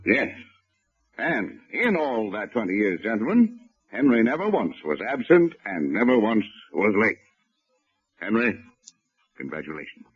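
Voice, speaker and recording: An actor playing a genial, pompous bank boss, speaking with formal ceremony in a 1940s radio drama. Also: male voice, lowish voice, very animated, a little slow, pauses often, husky, exaggerated voice. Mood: expectant, congratulatory. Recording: muffled old radio recording.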